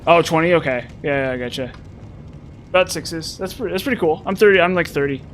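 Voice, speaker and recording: A man's voice: fairly high pitch, a little fast, fairly bright tone, a little hiss or hum. A man in his thirties casually chatting and rambling to his viewers while streaming a game. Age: 30s. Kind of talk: casual live-stream chat while playing.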